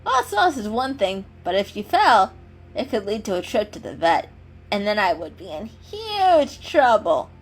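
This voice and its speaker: A woman's voice: medium pitch, sing-song, measured pace. A woman voicing a teasing, mischievous gray male cat in a storybook, with a casual, cheeky manner. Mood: frank.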